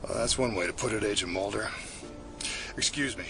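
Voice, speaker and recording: An actor playing a gruff, level-headed senior federal official in an audio drama, measured and authoritative. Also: male voice, on the low side, music in the background, lightly acted. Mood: dry. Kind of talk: naturalistic audio drama acting.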